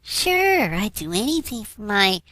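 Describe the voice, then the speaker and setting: A woman's voice: mid-range voice, very animated, measured pace, bright, forceful tone, heavily acted. A woman voicing a young pony who is eager, clingy and a little creepy, in a fan fiction reading. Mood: eager devotion. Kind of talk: fan fiction audio reading, cartoon character acting.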